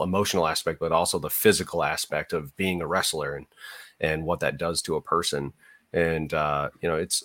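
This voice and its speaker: A man's voice: deep voice, a bit bright, dry recording, clean studio sound. A man co-hosting a podcast, discussing films in an earnest, conversational way. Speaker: podcast co-host. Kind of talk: casual film discussion.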